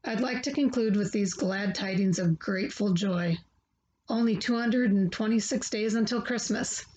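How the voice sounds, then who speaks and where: A woman's voice: mid-range voice, moderate pace, a little mellow. A woman guest reader delivering a short scripted announcement. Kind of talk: scripted narration. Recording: dull lo-fi audio.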